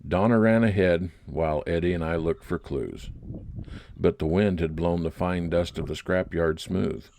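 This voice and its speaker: A man's voice: low-pitched, moderate pace, darkish tone, slight background noise. A man narrating a scripted first-person detective story in old-time radio style.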